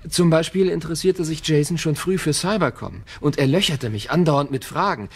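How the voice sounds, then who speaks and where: Gravelly, wary tech founder. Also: male voice, a little high, moderate pace. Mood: resigned. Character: wary, world-weary male tech company founder. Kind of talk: German audio drama dialogue.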